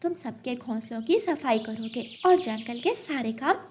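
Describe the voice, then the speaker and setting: A woman's voice: a little high, lively intonation, a little slow, a little mellow, slight background noise. A woman voicing a brave, good-hearted little female bird in a children's cartoon, speaking emphatically. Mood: assured, commanding. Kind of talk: Hindi children's cartoon dialogue.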